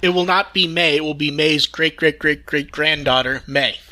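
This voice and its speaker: A man's voice: on the high side, normal speed, pushed and bright. A man hosting a casual podcast news discussion, often restarting his phrases, on a close dry mic. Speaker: podcast host.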